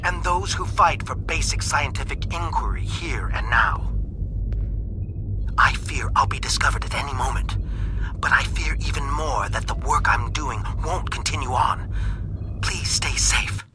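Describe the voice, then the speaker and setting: An actor playing a conscientious, anxious male alien scientist in a video game cutscene, speaking in a measured, formal and grave way, with breath creeping into his phrase endings. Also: fairly high pitch, background noise, natural voice. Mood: grave, uneasy. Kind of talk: scripted game cutscene monologue. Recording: music bed behind the voice.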